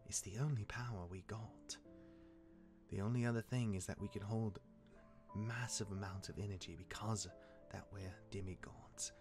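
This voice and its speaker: An actor playing a cocky, jaded demigod of misfortune mentoring his younger brother in a fantasy drama, smooth-talking and wry, with dramatic turns. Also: lowish voice, steady tone, moderate pace, music in the background, natural voice. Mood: instructive. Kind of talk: character acting in fantasy audio drama.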